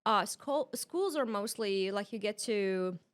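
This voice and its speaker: Iraqi-born woman, medium-pitched, explaining casually. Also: animated, takes it slowly. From Iraq. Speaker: podcast guest. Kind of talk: casual interview answers.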